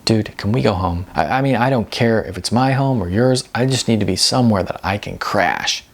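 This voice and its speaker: Man voicing an excitable, incredulous young slacker guy. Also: on the low side, moderate pace, vocal fry. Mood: weary. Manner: slangy, incredulous, questioning. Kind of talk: audiobook character dialogue.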